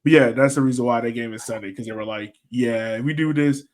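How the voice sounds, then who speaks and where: A man talks as the host of a casual sports fan podcast. His voice sits at a middle pitch, and he rambles along in loose, colloquial language. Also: quick pace. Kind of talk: casual soccer fan chat.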